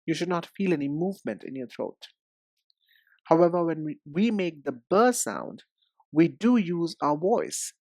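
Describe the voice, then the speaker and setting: A man teaching English pronunciation, explaining step by step in a deliberate, didactic way and speaking directly to the learner. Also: a little high, animated, darkish tone, no room sound, clean studio sound. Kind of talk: instructional lesson with demonstrations.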